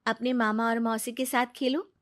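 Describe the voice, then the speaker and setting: A woman's voice: a little high, flat delivery, normal speed, natural voice. A young woman playing a dutiful, kind daughter in a cartoon family story, speaking earnestly. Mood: gentle, assured. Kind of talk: Hindi cartoon dubbing.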